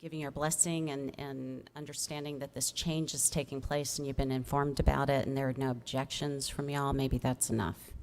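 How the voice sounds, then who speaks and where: A woman's voice: very deep voice, little pitch movement, normal speed, few pauses, darkish tone. A woman explaining cases to a commission in an informal, accommodating, slightly hesitant way, as a city staff member. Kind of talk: informal public meeting talk.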